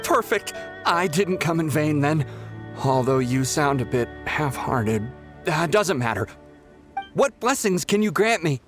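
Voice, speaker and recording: A man's voice: a little high, lively intonation, measured pace, music in the background. A man voicing a brash, greedy young pilgrim in a video game, melodramatic, whiny and easily offended. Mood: doubtful.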